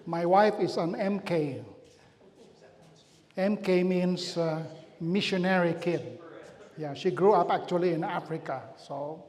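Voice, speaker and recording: A middle-aged man with a Filipino accent speaks in a pitched-up voice to a community gathering. He tells family stories in a relaxed, self-deprecating way. Long reverb from the church hall trails behind him. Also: measured pace, pauses often. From Philippines. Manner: chatty, self-deprecating, loose storytelling with asides. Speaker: pastor giving a health talk. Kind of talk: community health presentation.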